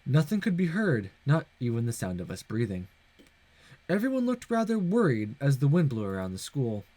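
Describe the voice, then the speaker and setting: A man's voice: medium pitch, wildly swooping pitch, normal speed, warm tone, slight background noise. A man hosting a let's play, giving casual commentary and reading narration from a visual novel. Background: music.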